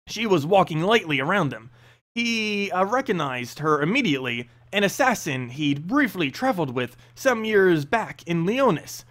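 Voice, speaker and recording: A man narrating a fantasy story aloud, with a halting delivery that stumbles over the text. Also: a little high, fairly bright tone.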